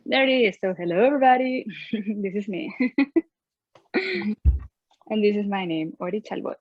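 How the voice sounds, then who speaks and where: A woman's voice: medium pitch, expressive, unhurried, dry recording, muffled recording. A young woman with a Venezuelan Spanish accent, a native Spanish speaker, teaching an online drawing class in a cheerful manner and laughing often. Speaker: art teacher.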